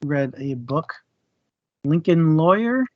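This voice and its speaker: A man's voice: a little high, lively intonation, slow, no echo. A man co-hosting a book club show, chatting casually about reading. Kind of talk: casual book discussion chat. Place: live online stream. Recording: dull, lo-fi, dry audio.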